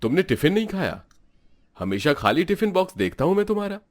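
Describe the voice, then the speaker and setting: Man acting a kindly, expressive school principal. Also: high voice, fast talker, no echo. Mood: questioning. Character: kindly, encouraging male school principal, middle-aged authority. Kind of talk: storybook audio drama acting.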